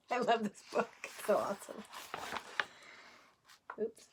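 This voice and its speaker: A woman's voice: medium pitch, noisy background. A woman teacher reading a picture book aloud to young children in a playful way, with chatty asides and questions.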